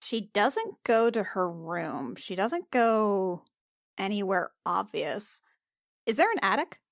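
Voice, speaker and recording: A woman with a medium-pitched voice talks through a tabletop game as a player. She is informal and a little hesitant, thinking aloud, and the recording is dry and close. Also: very animated, takes it slowly, creaky, dry recording. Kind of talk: casual improvised game talk.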